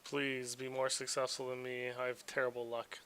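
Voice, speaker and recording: A man's voice: medium pitch, completely flat delivery, normal speed, fairly bright tone, slight background noise. A man taking part as a player in a tabletop game, chatting casually with the group.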